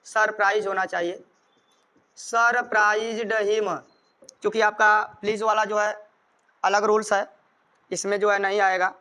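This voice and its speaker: An Indian man teaches in a strikingly high, clear voice. His delivery stays fairly even in tone as he explains grammar step by step, repeating each point for students. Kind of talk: grammar lesson, explaining rules with examples.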